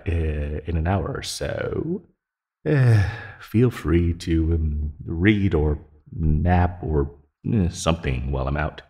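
A man playing a teasing, menacing naga predator in an ASMR roleplay, condescending and taunting in his delivery. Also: low voice. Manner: slow, taunting, condescending, with soft chuckles and sighs. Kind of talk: ASMR roleplay audio drama. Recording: long hollow reverb.

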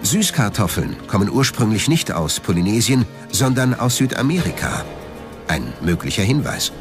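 A man's voice: on the low side, sing-song, normal speed, over music. A man reading scripted narration as the narrator of a documentary.

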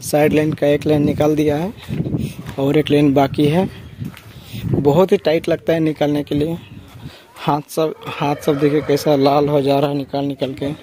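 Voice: medium pitch, little pitch movement, moderate pace. A vlogger narrating casually and plainly to viewers, repeating points while explaining. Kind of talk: casual vlog narration, talking to viewers and a child. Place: large open-sided empty poultry shed. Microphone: handheld phone camera, operator behind it. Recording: noisy phone recording in open farm shed.

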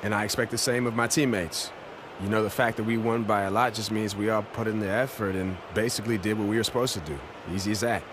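A man voicing a modest young American basketball player answering a postgame interview, with clear noise behind. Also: lowish voice, steady tone, fast talker, close to an everyday voice. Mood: matter-of-fact. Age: young adult. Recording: noisy arena ambience.

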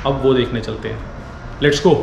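A man's voice: medium pitch, fairly even intonation, moderate pace, vocal fry, noisy background. A man in his thirties giving a casual walking tour of an apartment building, chatting in Hindi with English phrases, filmed on a handheld action camera. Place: new apartment building, rooms and rooftop. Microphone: handheld action camera, wide angle. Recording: echoey empty rooms, music underneath.

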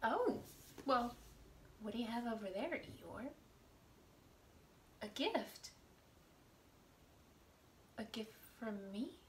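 A woman in her thirties hosting a storytime, talking expressively and deliberately to small children. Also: fairly high pitch, lively intonation, fairly bright tone, a little hiss or hum. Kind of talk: expressive picture-book storytime reading for young children. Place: small indoor room at home.

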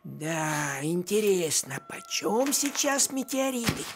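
Grumbly thrifty cartoon cat, high swoopy voice, takes his time. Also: big pitch swings, a little hiss or hum, full character voice. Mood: calculating. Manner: dry, grumbling, unhurried. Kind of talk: animated cartoon voice acting. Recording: music under the voice.

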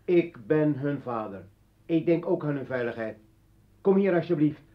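A man's voice: a little high, lively intonation, moderate pace, close to an everyday voice. An actor playing a bitter, armed revolutionary poet and father in a radio play, taunting and commanding. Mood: threatening, commanding. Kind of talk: Dutch radio play acting. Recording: dull old radio recording.

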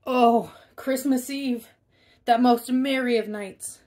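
A woman reading a children's picture book aloud in an expressive way, stressing key words. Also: on the high side, slow. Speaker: storybook reader.

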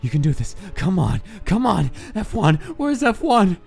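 A woman's voice: medium pitch, big pitch swings, vocal fry, darkish tone. Woman doing an anxious little skeleton kid, hesitant fretful muttering, cartoon dub w music behind. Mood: nervous, urgent. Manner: nervous, halting muttering. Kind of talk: dramatic comic dub voice acting.

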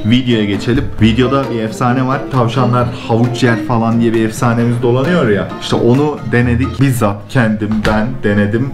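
A man's voice: medium pitch, fairly even intonation, brisk, hardly any pauses. Man vlogging playfully in an echoey room. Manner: playful, teasing. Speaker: vlog host at a vet clinic. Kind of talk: casual, joking vlog talk. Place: small indoor room and veterinary exam room. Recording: echoey small room, faint music.

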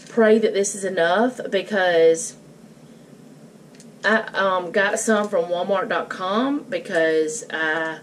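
Noticeable background noise sits behind a middle-aged woman with a mid-range voice. She chats informally as she works in a home kitchen, picked up by a camera mic. She rambles a little and thinks out loud about each step. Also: medium pitch. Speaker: home cook and vlogger. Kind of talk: casual cook-along chat, narrating her own steps.

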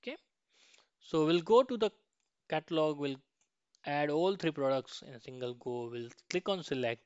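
A man explains software step by step as a tutorial instructor, often checking in with short tags. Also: on the high side, animated, normal speed, clean tone, dry recording, muffled recording. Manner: instructional, frequent checking tags like "right?" and "okay". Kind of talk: explanatory slide and screen-share tutorial.

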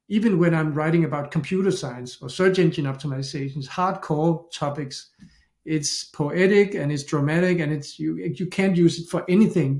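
A middle-aged man speaks English with a Danish accent in a slightly high voice, chatting casually as he walks through a screen-share tutorial. He thinks aloud and often restarts his sentences. The sound is roomy and echoey, from a home office. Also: darkish tone. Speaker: SEO tutorial host. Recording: echoey room.

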